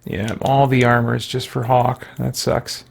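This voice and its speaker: A man's voice: medium pitch, level tone, measured pace, creaky, slightly rough. A man giving casual livestream game commentary, thinking aloud in short remarks. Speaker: video game streamer.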